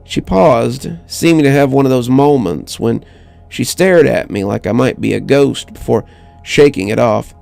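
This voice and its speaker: A man reading a scripted first-person horror story in a measured, dramatic way with deliberate pauses. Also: medium pitch, vocal fry, darkish tone. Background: music under the voice.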